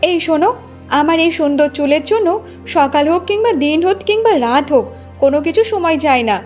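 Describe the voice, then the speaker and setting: Woman voicing a high-pitched vain, bossy cartoon wife. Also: animated, fast talker, a little mellow. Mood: demanding, whiny. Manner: demanding, boastful, nagging. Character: vain, bossy young village wife proud of her hair. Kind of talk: Bengali cartoon voice acting. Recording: music bed under the voice.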